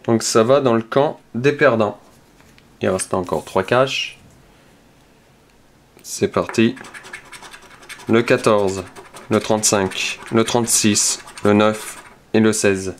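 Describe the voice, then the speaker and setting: A man hosts a scratch-card video, calmly checking numbers one by one and muttering softly as he scratches. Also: fairly low pitch, slow, long pauses, a little hiss or hum. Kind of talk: casual play-by-play while scratching tickets.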